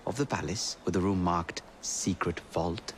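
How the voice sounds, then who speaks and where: A man playing a gallant, scholarly Victorian gentleman in a video game, polite and measured. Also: deep voice, background noise, natural voice. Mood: explaining. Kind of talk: video game cutscene acting.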